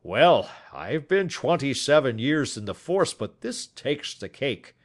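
A man voicing a blunt, jovial veteran police inspector with a loud, joking manner. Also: mid-range voice, very animated, takes it slowly, voice pushed up.

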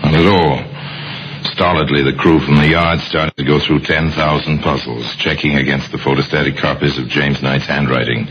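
A man narrating a radio crime mystery as a grave, wry storyteller, measured and dramatic, in an old recording. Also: very deep voice, steady tone, highs cut off, background noise. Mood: brooding.

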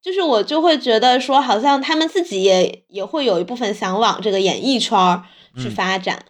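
Higher-voiced woman podcast host chatting. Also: expressive, a little fast. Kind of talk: casual chat.

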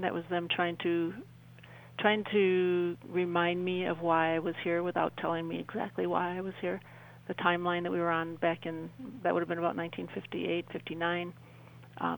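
An older woman speaking as a radio interview guest, giving a personal account in an earnest, deliberate way with a creaky voice. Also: on the low side, low-quality recording. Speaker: interview guest, author and self-described contactee.

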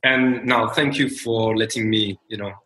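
A man in his forties from France with a French accent, talking as a founder guest in an interview in a measured, reflective way. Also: on the low side, steady tone, bright, forceful tone, reverberant, poor audio. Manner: measured, reflective, many fillers and restarts. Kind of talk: interview conversation.

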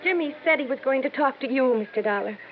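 A woman's voice: sits high, lively intonation, fast, faint music behind. A woman playing a fretful small-town mother in a 1950s radio drama, anxious and questioning about her missing boy. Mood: worried, reproachful. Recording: tinny, hissy 1950s radio broadcast recording.

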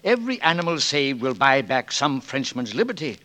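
A commanding, bright-voiced president. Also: male voice, medium pitch, big pitch swings, brisk. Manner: authoritative, oratorical. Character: commanding male French president, formal and decisive. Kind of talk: 1940s radio drama acting.

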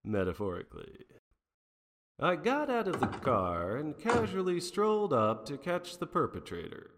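Slow, wry 1940s noir detective played by a man. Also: mid-range voice. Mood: wry, storytelling. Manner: wry, theatrical, wordy. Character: wry, self-deprecating 1940s private detective, adult man, unflappable. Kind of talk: 1940s noir radio drama narration. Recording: long reverberant hall sound.

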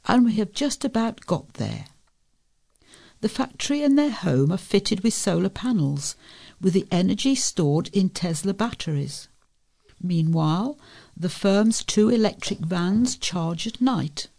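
Female volunteer reader for local news, medium pitch, plenty of vocal fry, scripted article delivery. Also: expressive, a little slow, darkish tone. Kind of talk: reading a local feature article aloud.

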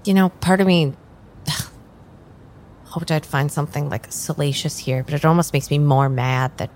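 Tarot reader for a female actor: blunt, exasperated, sardonic, slightly low pitch, improvised radio drama, faint background rumble. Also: a little mellow, low-quality recording. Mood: bitter, exasperated. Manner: curt, exasperated, sighing, halting. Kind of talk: improvised audio drama dialogue.

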